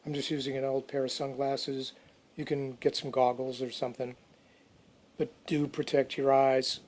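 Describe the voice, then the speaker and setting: Male DIY narrator, mid-pitched and very flat in intonation, informal and off the cuff. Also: normal speed. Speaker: DIY restoration video narrator. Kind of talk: casual how-to narration.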